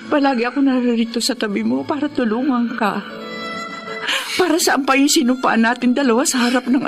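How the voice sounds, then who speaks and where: An actress with a Filipino accent playing a devoted, anguished wife in a radio drama, pleading and emotional. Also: female voice, high voice. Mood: tearful pleading. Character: devoted, anguished wife caring for her suffering husband. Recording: dramatic music behind the voice.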